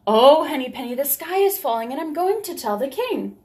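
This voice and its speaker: A woman voicing an alarmed, earnest young female chick from a storybook, with excitable delivery. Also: high voice, a little fast, voice pushed up.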